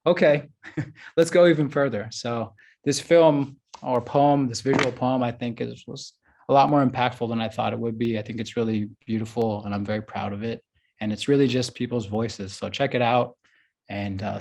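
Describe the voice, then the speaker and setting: A man's voice: mid-range voice, darkish tone. A man speaking casually on a panel as a filmmaker, with a loose, chatty way of talking full of "like" and "you know what I'm saying". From United States.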